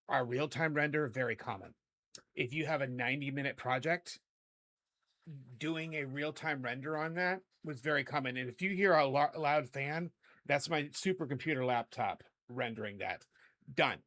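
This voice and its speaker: A middle-aged man with a hoarse voice hosting a casual video editing tutorial, chatty and rambling, often correcting himself. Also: medium pitch, moderate pace, fairly bright tone. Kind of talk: casual screen-recorded tutorial.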